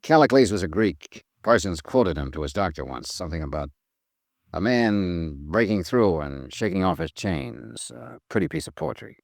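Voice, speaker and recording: An actor playing a terse, businesslike American investigator who questions and narrates in a matter-of-fact 1950s radio drama style. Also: male voice, sits low, animated, normal speed, vocal fry, no room sound, clean studio sound. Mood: musing. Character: terse, businesslike male investigator narrating his missing-person case. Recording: old radio drama with music behind.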